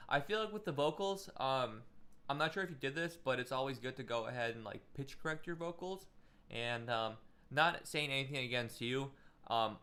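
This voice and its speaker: A man with a bright, forward voice talks through a casual livestream feedback session. He coaches like a music production teacher, loose and chatty with plenty of fillers, and keeps his advice encouraging. His pitch sits in an ordinary middle range. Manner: encouraging, gives friendly advice.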